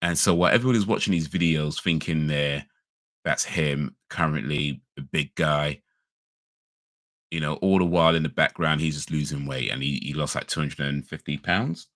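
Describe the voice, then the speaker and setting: A man talks casually on a livestream in a very deep, gravelly voice. He rambles and corrects himself with laid-back slang, his throat a little crackly. The sound is close and dry, with no room around it. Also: normal speed, fairly bright tone, no echo. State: slightly crackly voice. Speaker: livestream host. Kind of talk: casual chat.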